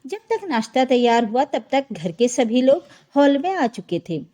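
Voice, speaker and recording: A woman's voice: high voice, big pitch swings, normal speed, mellow. A woman narrating a scripted family drama story as a storyteller.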